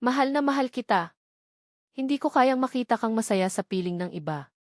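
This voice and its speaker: A woman's voice: fairly high pitch, animated, normal speed, darkish tone, no echo, studio quality, lightly acted. A Filipino-accented woman narrator voicing a scheming, desperate, possessive fiancee in an audio drama, pleading and insistent.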